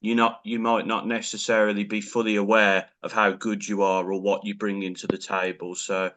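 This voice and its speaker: Bright-toned encouraging male interviewer. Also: fairly low pitch, flat delivery, normal speed. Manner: encouraging, affirming. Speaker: interviewer host. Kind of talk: casual interview.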